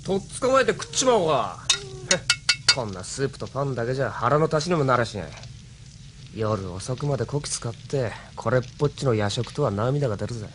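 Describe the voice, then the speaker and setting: A man's voice: mid-range voice, sing-song, fairly quick, noisy background, lightly acted. A man playing a grumbling, insolent rebel crewman in a Japanese audio drama, coarse and mocking. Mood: sneering, grumbling.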